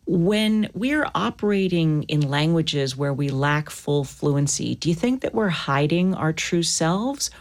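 A woman hosting a literary interview, putting questions in a composed, deliberate way. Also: deep voice. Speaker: interview host.

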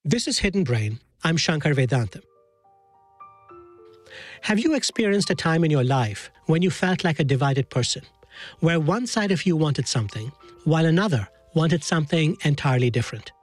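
A man hosting a radio show, asking questions and narrating in a measured, reflective way. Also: fairly high pitch, expressive, soft background music. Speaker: host. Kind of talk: scripted narration.

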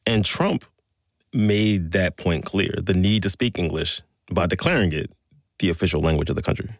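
A man hosting a conversational news podcast, quick, wry and incredulous. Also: sits low, no room sound, studio quality. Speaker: podcast host.

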